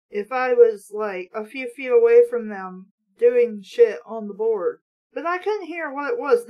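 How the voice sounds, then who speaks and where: A woman's voice: high-pitched, poor audio. A woman reading aloud and breaking off for blunt, exasperated, sweary, sarcastic commentary. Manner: casual, sweary. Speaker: host of a book-mocking reading show.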